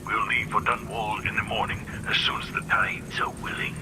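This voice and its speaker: Elderly game inventor, formal, anxious, theatrical, widely swinging intonation. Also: male voice, on the low side, huge pitch swings, normal speed, background noise. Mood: ominous, regretful. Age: older. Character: weary, grave old male inventor, anxious and loyal. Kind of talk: dramatic game acting, letter-like monologue.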